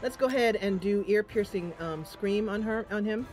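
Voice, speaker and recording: A woman's voice: mid-range voice, normal speed, darkish tone, soft background music. A woman giving casual, chatty let's play commentary, thinking aloud and reacting as she plays. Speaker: gaming channel host.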